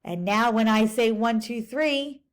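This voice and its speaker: Woman doing boastful spotted storybook creature, bouncy rhymes, higher voice, slow. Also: expressive. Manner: bouncy rhyming storybook delivery. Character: boastful spotted male creature, eager show-off.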